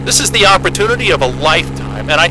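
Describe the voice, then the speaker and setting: A man's voice: a little high, big pitch swings, quick pace. A man playing an eager, geeky American astrophysicist in an audio drama, speaking in an earnest, wordy, naturalistic way. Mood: awed, nostalgic. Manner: earnest, wordy, enthusiastic explainer. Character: eager, geeky male astrophysicist starting a solo Antarctic posting. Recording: loud engine hum of a helicopter cabin.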